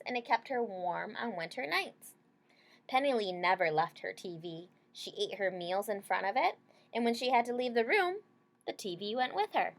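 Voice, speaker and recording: Woman reading a storybook to children. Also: fairly high pitch. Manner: checks in with listeners using short tag questions. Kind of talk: read-aloud with casual explanations to children.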